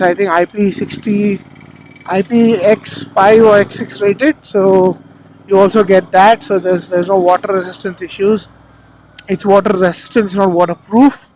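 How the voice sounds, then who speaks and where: A man's voice: high-pitched, low-quality recording. A man with an Indian accent gives a casual, rambling product review in a conversational way, speaking into a helmet intercom microphone. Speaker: motovlogger reviewing gear. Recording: helmet intercom mic with vehicle noise.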